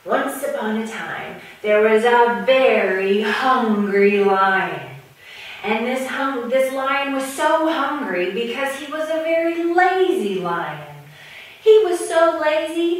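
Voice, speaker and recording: A woman telling a children's story in an animated, playful way with drawn-out emphasis. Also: a little high. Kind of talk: animated storytime narration with puppets. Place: echoing room, speaking to a camera. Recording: very echoey room, far from mic.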